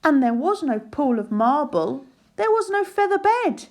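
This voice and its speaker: A woman narrating a rhyming children's storybook aloud in a measured, sing-song way. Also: high voice, big pitch swings.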